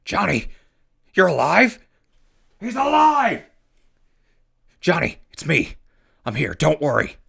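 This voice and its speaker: An actor playing a weary but caring wasteland ghoul who hosts a radio show, talking in casual, sardonic asides in a radio drama. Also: male voice, on the high side, huge pitch swings, slow, vocal fry, pauses often, a bit bright, husky, dry recording, studio quality, heavily acted. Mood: desperate, emotional. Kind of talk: post-apocalyptic radio drama.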